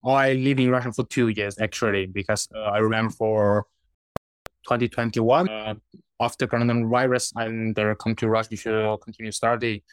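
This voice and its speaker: A young man from China with Chinese as his first language, answering interview questions haltingly, often restarting words. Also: on the low side, little pitch movement, clean tone, no room sound. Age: 20s. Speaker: guest.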